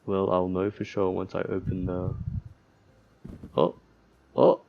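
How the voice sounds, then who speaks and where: A man doing casual gaming commentary as he reads a visual novel's text aloud, somewhat haltingly, with joking asides. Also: low-pitched, little pitch movement, vocal fry, phone-quality sound. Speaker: let's player reading a visual novel aloud.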